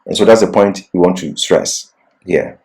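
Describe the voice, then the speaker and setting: A man's voice: low-pitched, normal speed, a little mellow. A man giving an expository Bible lecture as a teacher, didactic and leaning on key words.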